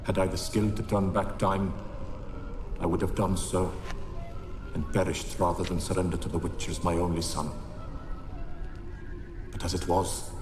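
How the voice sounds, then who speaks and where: A grave, deep-voiced scholar, played by a man, dictates his research journal in a fantasy game. His delivery is measured and formal, ringing with strong echo over plainly audible noise. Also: natural voice. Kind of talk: video game character acting, recorded journal. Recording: echoey, noisy recorded-journal sound.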